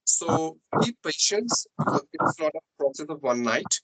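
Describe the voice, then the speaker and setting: A man's voice: fairly high pitch, very animated, normal speed, fairly bright tone, no echo, rough recording. A man with an Indian English accent, coaching English in an earnest, explanatory way on a video call. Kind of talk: online conversation practice.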